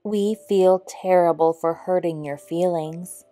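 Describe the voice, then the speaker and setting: A woman's voice: fairly low pitch, steady tone, slow pace, dark tone. A woman voicing small forest animals in a children's storybook, earnest and plain-spoken. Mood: apologetic. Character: accusing, later apologetic small forest animals. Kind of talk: storybook character voice.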